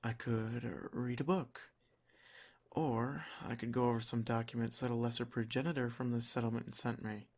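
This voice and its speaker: A man voicing a protective, possessive vampire lord in an ASMR roleplay, speaking in a measured, reassuring, gently commanding way. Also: medium pitch, steady tone, lightly acted. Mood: soothing. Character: possessive, protective male vampire lord, soft-spoken and teasing. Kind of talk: ASMR roleplay, scripted intimate monologue.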